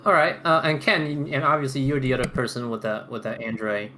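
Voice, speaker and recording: A man hosting a casual roundtable chat, halting and often restarting his phrases. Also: mid-range voice, sharp tone. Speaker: podcast host moderating a roundtable. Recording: noticeable background noise.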